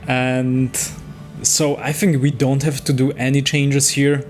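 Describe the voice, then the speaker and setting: Man talking through gameplay over clear music. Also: mid-range voice, flat delivery, slow pace. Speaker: host playing and commenting on a digital card game. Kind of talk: casual gameplay commentary, thinking aloud.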